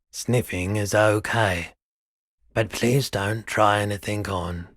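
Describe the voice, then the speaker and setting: An actor playing a weary, mild-mannered pawnshop owner no longer young in a video game, speaking in an unhurried, low-key, dryly polite way. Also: male voice, a bit bright, dry recording, clean studio sound. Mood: dry, calm. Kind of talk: video game dialogue acting.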